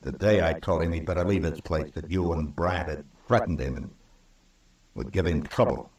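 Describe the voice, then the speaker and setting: Deep-voiced, stern Old West marshal. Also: male voice, darkish tone. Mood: accusing. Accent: American. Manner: firm, deliberate, moralizing. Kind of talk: old-time radio western acting. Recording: roomy, distant vintage radio recording.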